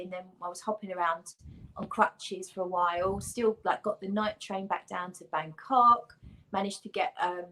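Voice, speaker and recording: A woman's voice: mid-range voice, a bit bright, low-quality recording. Rambling, self-deprecating woman telling a story. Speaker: guest. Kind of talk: casual chat and anecdote telling.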